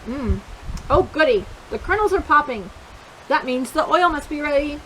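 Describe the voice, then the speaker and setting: A woman plays an eager young storybook boy, hungry and cheerful, busy at the stove. The voice is high and bouncy with lively pitch swings, over a light hiss. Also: moderate pace, rough recording. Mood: delighted.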